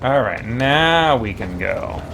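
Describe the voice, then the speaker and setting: A man's voice: medium pitch, animated, slow pace. A man streaming a game, chatting casually and thinking aloud as he plays. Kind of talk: casual live game commentary. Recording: game music behind the voice.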